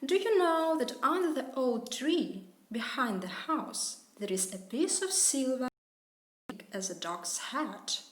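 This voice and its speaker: Woman reading a boastful fox, slow and sing-song, echoey room. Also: high voice, expressive. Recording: roomy echo.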